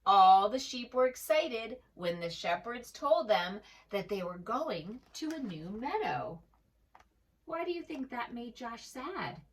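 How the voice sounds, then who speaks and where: A woman telling a children's story in an expressive, gentle manner, as a read-aloud for preschoolers. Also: fairly high pitch, slow, distant mic. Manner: expressive, gentle, asks the listener questions.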